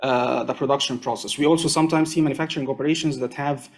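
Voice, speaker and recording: Measured man explaining on a panel. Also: medium pitch, fairly even intonation. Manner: measured, explanatory, many fillers. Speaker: panelist at an online conference. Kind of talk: conference panel talk.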